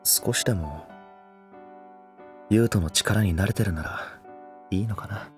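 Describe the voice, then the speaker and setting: A man acting a tall young lover in a Japanese voice drama, speaking casually, softly and intimately, devoted and a little pushy. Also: lowish voice, unhurried, soft background music, close to an everyday voice. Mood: tender, yearning. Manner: casual masculine speech, soft and intimate.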